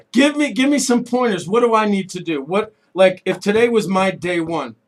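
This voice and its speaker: A man's voice: high voice, lively intonation, moderate pace. A man in his late forties from New York, hosting a radio interview, chatty and joking as he asks eager questions. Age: 40s. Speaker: radio host. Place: radio studio. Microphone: studio microphone.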